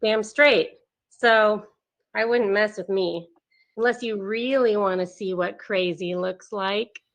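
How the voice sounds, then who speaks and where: A woman's voice: mid-range voice, takes it slowly, gravelly. A woman playing a brash, volatile young psychiatric patient in a horror script table read. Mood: taunting, cocky. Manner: taunting and cocky, breaking into screaming panic. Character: Sasha, brash, mean, unhinged young female psychiatric patient.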